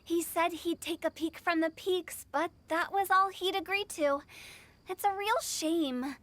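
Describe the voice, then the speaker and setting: A woman's voice: extremely high pitch, measured pace, a bit bright, dry recording. A woman voicing a playful, theatrical teenage girl who hosts festivities in a video game. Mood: mock regret.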